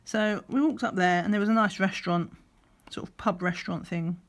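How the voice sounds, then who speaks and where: A middle-aged woman, slightly croaky, chatting in a rambling way while doing a craft, sometimes counting under her breath. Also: mid-range voice, steady tone, brisk. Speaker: crafting vlogger. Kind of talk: relaxed chatty craft vlog. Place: at a craft table indoors.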